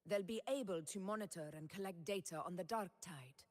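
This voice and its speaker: A woman voicing a composed, commanding female ruler in a fantasy game, with formal, measured authority. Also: on the low side, close to an everyday voice. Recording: long reverberant hall echo.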